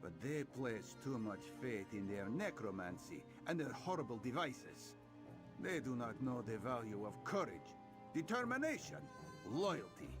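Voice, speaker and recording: A man's voice: medium pitch, big pitch swings, measured pace. A man playing a suave, affectionate cat-folk rogue in a video game, with a teasing, theatrical way of talking. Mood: earnest, tender. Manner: third-person speech, teasing, theatrical swoops. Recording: game music behind the voice.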